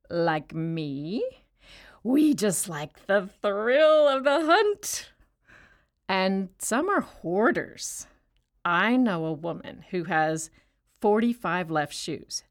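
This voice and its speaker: An actress playing a chatty, rambling woman inmate in an audio drama, telling stories in a wry tone. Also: medium pitch. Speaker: actor in an audio play.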